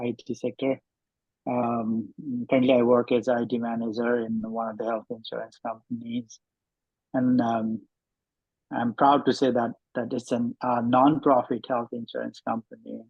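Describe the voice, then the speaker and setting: A man in his thirties from Nepal, introducing himself on a video call with headphones, pausing often with ums and restarting his sentences. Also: mid-range voice, narrow, tinny audio. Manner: hesitant, many ums and restarts. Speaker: networking meeting participant.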